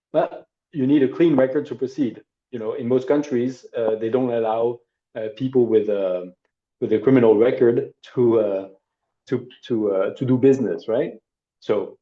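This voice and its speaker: A middle-aged man teaching a workshop over a video call, demonstrating a service in a relaxed, conversational way with hesitations and asides. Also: medium pitch. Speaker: workshop instructor. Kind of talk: live online training demo. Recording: dull lo-fi video call audio.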